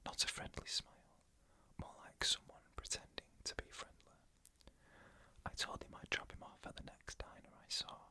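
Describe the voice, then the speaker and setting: A narrator reading eerie stories in a hushed, breathy ASMR whisper, in short measured phrases.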